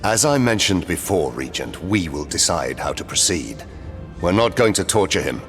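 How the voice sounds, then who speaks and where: A deep-voiced formal knight commander. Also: male voice, big pitch swings, moderate pace, a bit bright, faint music behind. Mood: firm. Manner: formal, restrained, firm. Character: measured male knight commander, cautious leader. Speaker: game character.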